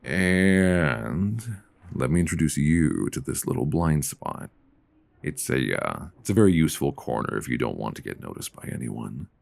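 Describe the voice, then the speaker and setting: A man playing a mild-mannered, flirtatious middle-aged librarian who can turn quietly commanding, in an intimate, conversational ASMR roleplay on a close mic. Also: very deep voice, big pitch swings, moderate pace, vocal fry, no echo, exaggerated voice. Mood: serious, commanding. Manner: conversational, hesitant, teasing. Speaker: audio roleplay performer. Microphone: close binaural microphone.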